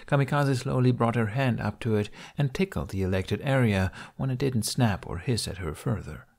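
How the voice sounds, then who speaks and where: A man's voice: mid-range voice, moderate pace, vocal fry, darkish tone. A man reading a scripted story aloud as an audiobook narrator.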